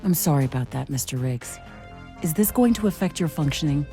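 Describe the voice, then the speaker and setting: An earnest, curious woman scientist and commando talks from inside her mech suit. Her voice is fairly low and dark, relaxed in tone, and her delivery is measured and professional. Music sits faintly behind this game dialogue. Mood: concerned. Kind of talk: scripted sci-fi game dialogue.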